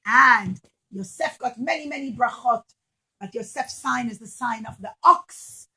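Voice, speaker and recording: Bright, high-voiced woman teaching children. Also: a little slow, slightly rough. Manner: simple, emphatic, repetitive teacher talk with questions to children. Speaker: children's religious teacher and storyteller. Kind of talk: animated Bible storytelling for young children.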